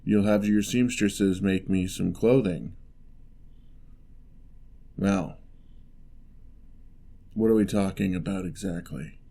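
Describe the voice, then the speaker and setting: A man playing a lonely, gentle male giant in a fantasy ASMR roleplay, speaking in a measured, wry, slightly formal way. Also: lowish voice, expressive, creaky, exaggerated voice. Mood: matter-of-fact, bargaining.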